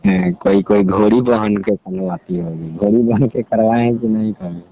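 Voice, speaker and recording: A man's voice: lowish voice, very animated, moderate pace, warm tone. A man with a Bihari accent chatting casually on a phone call, explaining things in a colloquial way. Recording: dull lo-fi call recording, clear noise.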